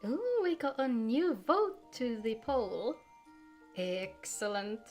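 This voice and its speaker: A woman's voice: a little high, very animated, takes it slowly, darkish tone. A woman, chatting casually with viewers as she livestreams a game. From Finland. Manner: chatty, greets viewers by name. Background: in-game music.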